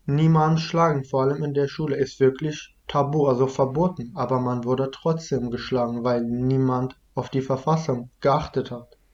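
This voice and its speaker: Young man as interviewee, halting and earnest, mid-pitched, a little lo-fi. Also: fairly even intonation, darkish tone. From Iraq. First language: Kurdish. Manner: hesitant, many restarts and fillers. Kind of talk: casual conversation.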